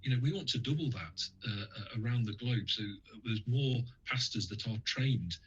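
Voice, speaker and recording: Husky-voiced man, hesitant radio guest. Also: on the low side, normal speed, muffled recording. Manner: hesitant, many fillers and restarts. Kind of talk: radio interview, conversational.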